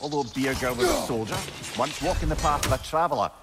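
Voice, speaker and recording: A man's voice: fairly high pitch, sing-song, quick pace, loud music behind the voice. A man playing a chatty, worldly old companion in a video game, with a droll, witty way of talking. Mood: explanatory. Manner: droll, talkative, wryly witty. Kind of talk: video game dialogue acting.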